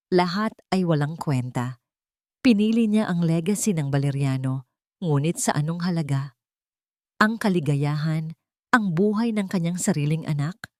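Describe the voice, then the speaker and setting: Low-pitched Filipino woman narrating a dramatic story. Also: a little mellow, no echo, studio quality.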